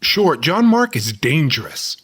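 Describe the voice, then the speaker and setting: An actor playing a shifty, guarded police officer in an audio drama, terse and evasive. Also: male voice, a little high, big pitch swings, measured pace, fairly bright tone, husky, exaggerated voice. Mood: defensive. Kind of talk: naturalistic audio drama dialogue.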